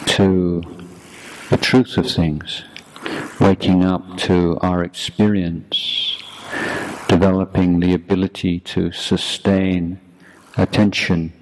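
A man guiding a meditation as a teacher, speaking in a measured, deliberate way in short phrases with long pauses. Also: sits low, a little mellow. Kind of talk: guided meditation talk. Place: youth retreat. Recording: clearly noisy background.

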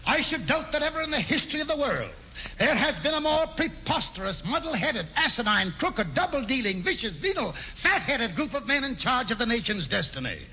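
An actor playing a pompous, scheming colonial governor, grandiose and sly in 1940s radio acting, on a muffled old broadcast. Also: male voice, very high-pitched, very animated, brisk, bright, forceful tone, slight background noise, full character voice. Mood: oratorical. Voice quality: grandiose, mock-genial, sly. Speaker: governor Stuyvesant.

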